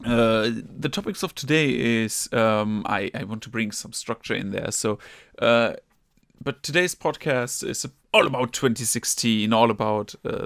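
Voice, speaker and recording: A man's voice: medium pitch, fairly bright tone, no room sound, studio quality. A young man from Germany with a German accent, hosting a casual gaming podcast, rambling with many fillers, restarts and casual swearing. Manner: rambling, lots of fillers and self-corrections. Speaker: podcast host.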